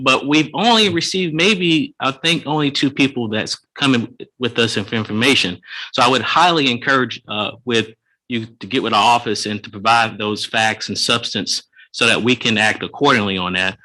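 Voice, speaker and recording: A man's voice: mid-range voice, bright, forceful tone, rough recording. A man serving as police chief, reporting to a city commission meeting in an earnest, conciliatory and formal way.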